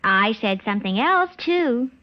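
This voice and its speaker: An actress playing a chatty, insistent housewife in a fifties radio public service sketch, nagging and precise, on a dull recording. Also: female voice, a little high, expressive, measured pace. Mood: testing, skeptical. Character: chatty, insistent housewife talking at her husband. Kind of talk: 1950s radio commercial dialogue acting.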